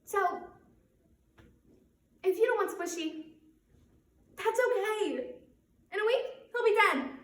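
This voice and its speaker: A woman performing a theatrical monologue as a flustered, guilt-ridden young woman who apologizes in a halting, nervously rambling way. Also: very high voice, animated, full character voice. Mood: nervous, upset. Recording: roomy echo, distant microphone.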